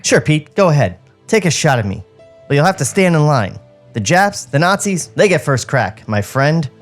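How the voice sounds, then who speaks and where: A self-important young recruit, played by a man, breezy and theatrical. His lines swing widely in pitch and run a little high, as in a comic script read. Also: very animated, normal speed, faint music behind. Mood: breezy, smug. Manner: grandiose, theatrical farewells. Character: Landy, breezy, self-important young male army enlistee.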